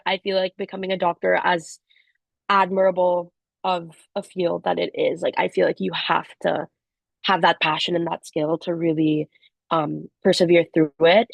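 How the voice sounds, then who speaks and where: Brief: young woman, chatty panelist, low pitch, slight fry. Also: no echo. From Philippines. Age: young adult. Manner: loose and chatty, many fillers and restarts. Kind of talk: casual panel talk.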